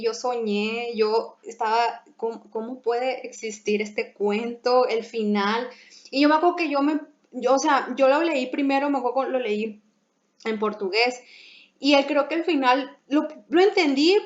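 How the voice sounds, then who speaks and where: A young woman with a Mexican accent chatting as host, lively, laughing often and restarting her phrases. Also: high-pitched. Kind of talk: casual conversation. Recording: echoey room, dull lo-fi sound.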